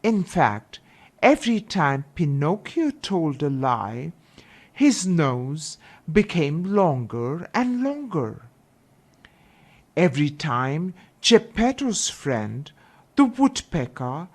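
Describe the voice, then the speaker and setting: A woman narrating a children's fairy tale with deliberate, expressive phrasing. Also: low voice. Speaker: storyteller.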